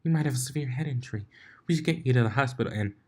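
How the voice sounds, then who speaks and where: Give me a man playing a whispery, shy young mare, high and hushed. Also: rapid-fire, lightly acted. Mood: timid. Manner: whispery, hesitant, apologetic. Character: shy gentle young mare, soft and fretful.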